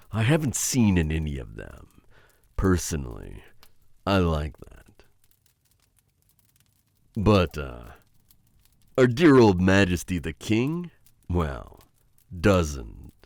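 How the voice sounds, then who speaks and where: Witch hunter, male actor, ASMR roleplay. Deep register with lively swings, intimate taunting menace, inner conflict underneath. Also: vocal fry, no room sound, clean studio sound, heavily acted. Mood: smug, musing. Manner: slow, halting, intimate taunting address to listener.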